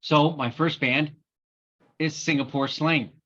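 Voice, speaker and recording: A man's voice: a little high, fairly even intonation, takes it slowly, a bit bright, muffled recording. A man hosting a casual podcast, talking with a wry, sarcastic humor. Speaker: podcast host. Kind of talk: casual chat.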